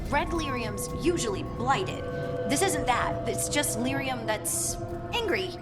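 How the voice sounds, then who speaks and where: A woman's voice: sits high, animated, measured pace. A woman playing an earnest, determined young dwarf scout in a video game, speaking plainly. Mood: resolute. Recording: music under the voice. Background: game music.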